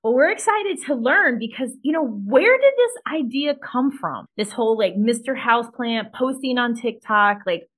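A woman's voice: fairly high pitch, lively intonation, normal speed, few pauses, fairly bright tone. A woman hosting a podcast, reading a scripted intro and then chatting with her guest in an upbeat, enthusiastic way, often saying "like". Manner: upbeat, enthusiastic, many "like" fillers. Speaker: podcast host.

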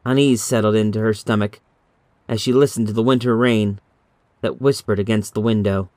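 A man's voice: fairly low pitch, fairly even intonation, normal speed, darkish tone, no echo. A man reading a scripted horror story as an audiobook narrator.

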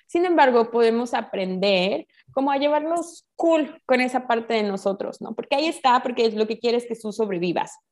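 A woman with a Mexican accent explains ideas in a casual, expressive, conversational way full of colloquial slang. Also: on the high side, moderate pace, few pauses. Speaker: guest explaining spiritual ideas.

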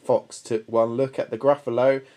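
A woman narrating a children's storybook in a measured, expressive storytelling manner. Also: very deep voice. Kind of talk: scripted children's story reading.

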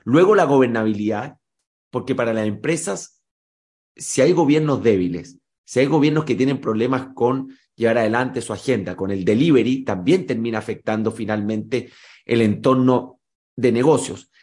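A man speaks as an expert guest, laying out his points in a measured, explanatory way. His voice sits in an ordinary middle pitch. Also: darkish tone. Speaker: political risk analyst. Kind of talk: expert interview answers.

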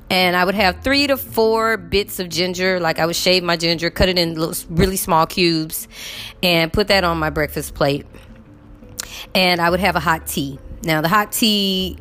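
Chatty woman in her fifties, low voice. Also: a bit bright. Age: 50s. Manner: chatty, rambling, with frequent fillers. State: recovering from illness, cough. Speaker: vlogger. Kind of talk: casual chat to viewers.

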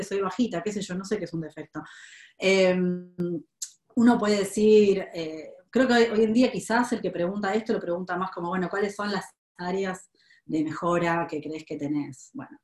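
A woman with a Rioplatense Spanish accent gives career advice in a live webinar, her voice sitting a little low. She thinks aloud, pausing and restarting often. The room around her sounds echoey. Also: darkish tone. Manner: thoughtful, many hesitations and restarts.

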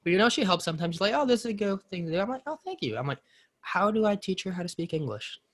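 A man's voice: sits high, big pitch swings, fast talker. A young American man chatting casually, swearing freely and ranting. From United States. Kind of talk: casual chat.

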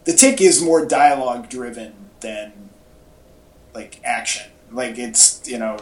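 A man's voice: mid-range voice, measured pace, a little mellow. A man co-hosting a podcast in casual chat, talking informally like an enthusiastic fan. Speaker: podcast co-host. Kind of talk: casual pop-culture chat. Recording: echoey room podcast audio.

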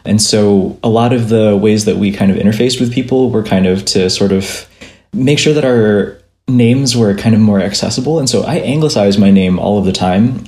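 A man's voice: lowish voice, warm tone, reverberant. A man, a comic book artist, answering interview questions thoughtfully on a call, with light laughs. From Philippines-born, raised in Minnesota. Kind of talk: remote interview conversation. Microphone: remote call.

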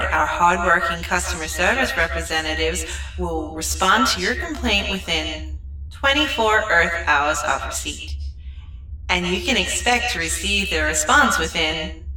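A woman's voice: lowish voice, sharp tone, recorded from a distance, low-quality recording. A woman playing a relentlessly courteous customer-service spaceship computer in a sci-fi audio drama, speaking in polite scripted corporate phrases.

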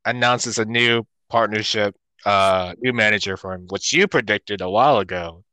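A man's voice: on the low side, normal speed, pushed and bright, smooth, no echo, rough recording. A man chatting casually as a podcast co-host, laid-back and telling self-deprecating jokes. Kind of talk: casual chat.

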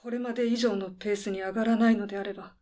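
A woman's voice: mid-range voice, steady tone, very fast, warm tone, natural voice. A woman playing a composed, firm female company head with formal, businesslike speech in a radio drama. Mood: tense, subdued. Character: composed female head of a deadline-management service, losing patience. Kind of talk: radio drama dialogue acting.

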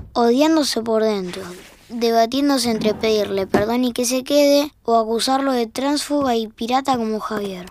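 A woman's voice: a little high, a little hiss or hum. A woman with a Rioplatense Argentine accent reading scripted narration for an audio fiction.

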